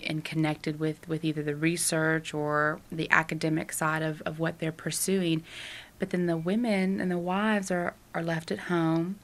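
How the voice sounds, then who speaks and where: A woman program organizer answering interview questions in a halting way, often repeating words as she restarts. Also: low voice.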